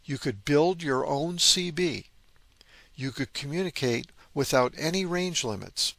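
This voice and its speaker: A man's voice: on the high side, animated, unhurried, vocal fry, fairly bright tone, dry recording. A man hosting a hobby channel, narrating informally with an enthusiast's interest and wry asides. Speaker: host of a vintage radio hobby channel. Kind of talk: informal narration on vintage radio gear.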